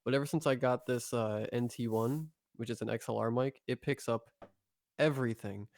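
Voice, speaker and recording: A man's voice: mid-range voice, normal speed, a little mellow, dry recording, studio quality. Chatty podcast co-host man. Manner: chatty, enthusiastic about audio gear. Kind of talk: casual podcast chat.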